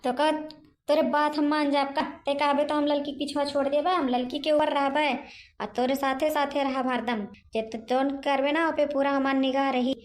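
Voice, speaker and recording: A woman's voice: high-pitched, level tone, normal speed, lightly acted. A woman voicing a bullying witch ghost in a cartoon comedy, threatening and taunting in an Awadhi accent. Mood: threatening, gloating. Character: bullying witch ghost claiming her haunted mansion.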